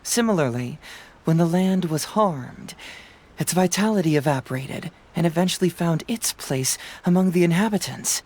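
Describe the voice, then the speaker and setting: A woman's voice: on the low side, animated, moderate pace, a little mellow. A woman voicing a thoughtful, easygoing young detective in a video game, with a sincere, reflective delivery. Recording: music behind the voice.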